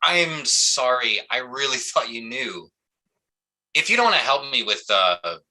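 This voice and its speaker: A gruff, sardonic small-town cop, acted by a man in a staged play reading. He has a sharp, very forceful voice at a middling pitch and speaks bluntly, in halting everyday phrases. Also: sing-song, full character voice, rough recording. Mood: apologetic.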